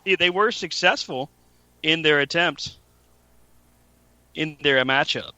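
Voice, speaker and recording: A man hosts a wrestling podcast in a casual, joking way, often poking fun at himself. His voice is bright and forceful, sitting a little high. The recording is dry and lo-fi. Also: slow, no room sound. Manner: joking, laughs often, self-mocking. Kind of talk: casual chat. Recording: dull lo-fi podcast audio.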